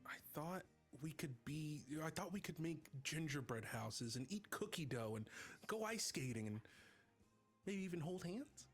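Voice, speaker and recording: A man playing a naive, excitable grown man raised as an elf in a live comedy table read, earnest and blunt. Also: on the high side, unhurried, breathy edge, soft background music. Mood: hopeful, naive.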